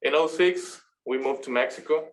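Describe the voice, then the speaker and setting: Man, halting class talk. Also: medium pitch. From Venezuela. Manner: halting, searching for words. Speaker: master's student presenter. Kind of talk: class presentation.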